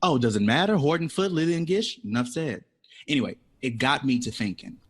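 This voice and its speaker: An actor in an audio drama playing a witty, dreamy jazz composer who talks with playful, dramatic flourishes. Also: male voice, mid-range voice, expressive, a little fast, slightly rough. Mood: theatrical, eager. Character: witty, dreamy, theatrical male jazz composer, eager for independence.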